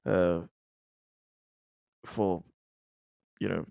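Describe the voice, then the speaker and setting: A man playing a weary, haunted colony officer in a tabletop roleplay, speaking haltingly and earnestly as he consoles a colleague. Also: low voice, flat delivery, gravelly, warm tone, smooth, no echo, exaggerated voice. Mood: concerned, gentle. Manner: halting, grave, earnest, sighing. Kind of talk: improvised tabletop roleplay dialogue.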